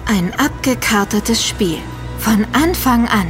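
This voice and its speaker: A woman's voice: fairly high pitch, animated, slow, faint music behind. A woman playing a sceptical, alert investigator in a German audio drama, with a questioning, critical manner. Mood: realising. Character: sceptical, alert female member of investigative team.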